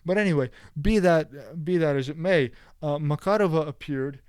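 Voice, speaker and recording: Blunt, emphatic man, somewhat high voice. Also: moderate pace, a little mellow, smooth, no echo, clean studio sound. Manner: blunt, emphatic, full of asides and restarts. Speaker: political commentator. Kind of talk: opinionated solo video commentary.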